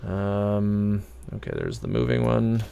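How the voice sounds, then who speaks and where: A man's voice: fairly low pitch, wildly swooping pitch, takes it slowly, gravelly, warm tone, highs cut off, slight background noise. A man streaming a video game, thinking aloud in easygoing, casual live commentary.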